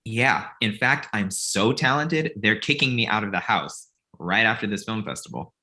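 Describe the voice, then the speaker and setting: A man's voice: lowish voice, expressive, moderate pace, pushed and bright, full character voice. A man playing a cocky, wry grown son who lives with his parents, in a comedy table read. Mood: wry, eager. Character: cocky, needy grown son, amateur film buff, living with parents.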